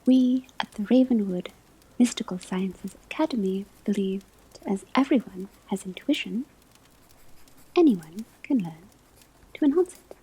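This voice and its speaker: Here is a scholarly witch professor, acted by a woman, giving an ASMR classroom lecture. The voice is very breathy and soft, often slipping into a whisper, with a mellow tone. The delivery is slow, formal and deliberate, patient with a gently stern edge. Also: mid-range voice, lively intonation, low-quality recording. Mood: instructive, measured.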